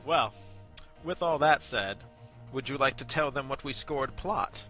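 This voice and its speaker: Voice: medium pitch, a little slow, pushed and bright, faint music behind. An amateur web review host with a chatty, playfully mock-formal manner, moving between casual banter and announcements.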